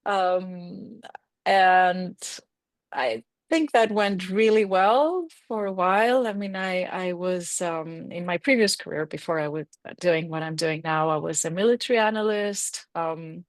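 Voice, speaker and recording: A woman from Greece in her forties speaks in a low voice as a podcast guest. She reflects on things with halting fillers and soft laughs. The sound is dry and close. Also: no echo. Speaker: guest, reinvention coach. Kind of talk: podcast interview.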